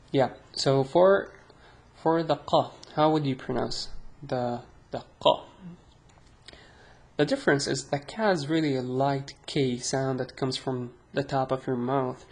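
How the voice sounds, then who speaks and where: Slow, gravelly-voiced Arabic-accented man teaching. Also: mid-range voice, a little mellow. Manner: slow, chatty, self-deprecating, drawn-out sounds. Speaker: language teacher. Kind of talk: casual online language lesson.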